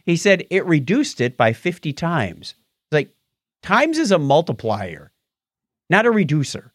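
A man's voice: fairly high pitch, big pitch swings, a little slow, no echo, studio quality. A middle-aged man co-hosting a casual tech news show, talking with animation and stumbling over hard words. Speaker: podcast co-host.

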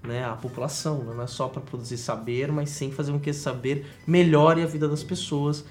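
A man's voice: a little high, steady tone, fairly quick, soft background music. A man hosting an interview, affirming his guest and prompting questions with frequent "né". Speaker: host.

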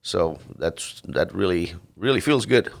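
A middle-aged man from the Netherlands with a Dutch accent, a dairy farmer answering interview questions in a halting way with many fillers and restarts. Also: low-pitched, expressive, gravelly.

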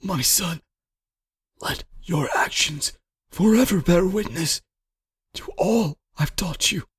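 Storybook father, a proud and desperate man of honor, acted by a male narrator, impassioned and wide-swinging in pitch. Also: expressive, measured pace, darkish tone, breathy edge. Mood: weak, solemn. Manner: anguished, impassioned, declamatory. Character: desperate, honorable father wrestling with guilt, then dying. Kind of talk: dramatic storybook character acting.

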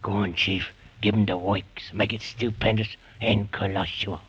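A man's voice: lowish voice, fairly even intonation, a little slow, a bit bright, breathy edge, full character voice. A man playing a cheeky old nag horse sidekick with brash vaudeville delivery in 1930s radio comedy. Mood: egging on. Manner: brash vaudeville catchphrases and exclamations. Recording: noisy, dull old 1930s radio recording.

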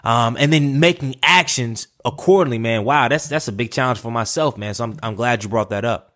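A man's voice: medium pitch, brisk, fairly bright tone. A man hosting a casual interview podcast, talking in an informal, chatty way. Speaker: podcast host interviewing a guest.

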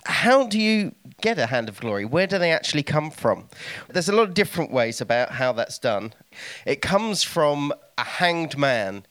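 A man's voice: fairly high pitch, very animated, moderate pace, fairly bright tone, clean tone. A man speaking as a guest, giving an informative, explanatory talk. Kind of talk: informative talk with dramatic readings.

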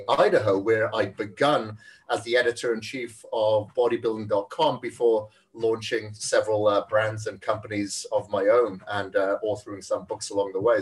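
A man's voice: mid-range voice, distant mic, low-quality recording. A Welsh man who is a fitness trainer, answering interview questions in a friendly, chatty way and laughing easily. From Wales.

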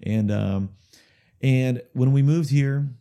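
A man chatting in an interview about his music, talking in a halting way with lots of fillers, restarts and repeated words, chuckling now and then. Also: mid-range voice, warm tone. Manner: chuckles through stories. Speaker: musician guest. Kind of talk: casual rambling interview storytelling.

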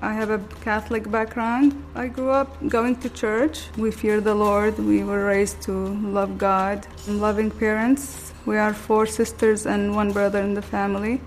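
A middle-aged woman from Iraq recalling growing up in wartime, in a plain, steady way. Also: medium pitch, measured pace, few pauses. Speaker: interviewee. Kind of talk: personal testimony.

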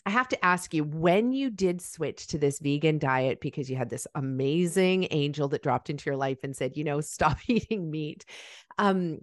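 A woman from Malawi hosts a podcast, talking with eager, easy enthusiasm. Her voice is very low for a woman. The recording is close and dry. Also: moderate pace, no echo. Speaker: podcast host. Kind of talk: casual interview.

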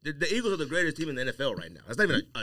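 A man's voice: fairly high pitch, sing-song, racing, sharp tone, no echo, clean studio sound. A man hosting a podcast in casual, slangy banter, talking boastfully and with lots of animation. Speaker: podcast host. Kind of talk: casual sports and sneaker banter.

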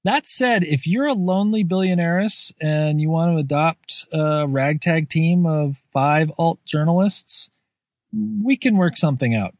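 A man's voice: a little high, a little slow, a little mellow, no echo. A man hosting a podcast, reading an earnest, self-deprecating intro while recording under a comforter in his garage on a cheap microphone. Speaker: podcast host. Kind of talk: scripted spoken intro. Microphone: cheap home microphone.

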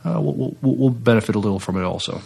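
A man co-hosting a podcast, chatting casually with halting phrasing. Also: medium pitch, creaky, darkish tone, husky. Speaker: podcast co-host.

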